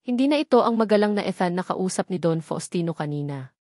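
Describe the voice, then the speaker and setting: A woman's voice: fairly low pitch, animated, fast talker, warm tone, no echo, studio quality. A woman with a Filipino accent reading a scripted dramatic story as its narrator.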